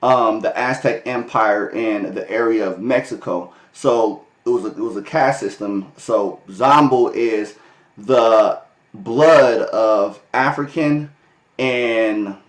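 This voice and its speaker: A man's voice: fairly low pitch, slow pace. An American man talking casually to his vlog viewers, with loose chatty delivery, restarts and frequent chuckles. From United States. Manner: loose and conversational, many fillers and restarts, laughs often. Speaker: vlogger. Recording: echoey room.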